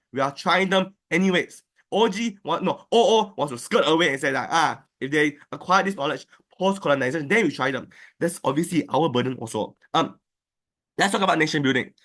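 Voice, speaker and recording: Male debater, lightly raised pitch, fast structured rebuttals over a call mic. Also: a bit bright. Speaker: debate speaker. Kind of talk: competitive debate speech. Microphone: online call, own mic.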